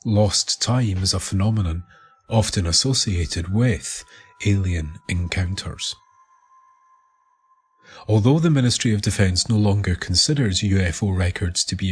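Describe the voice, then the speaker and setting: Male narrator, Scottish. Scripted mysterious storytelling, lowish pitch, unhurried pace, pauses for effect. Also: slightly rough. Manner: measured, deliberate, with dramatic pauses. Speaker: writer and narrator of the show. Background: music bed.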